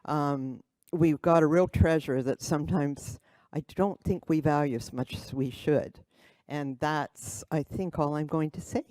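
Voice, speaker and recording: Halting older woman with a smooth voice. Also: low voice, fairly even intonation, dark tone, no echo. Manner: halting, with fillers and laughs. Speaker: award recipient. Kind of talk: short acceptance speech. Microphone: podium microphone.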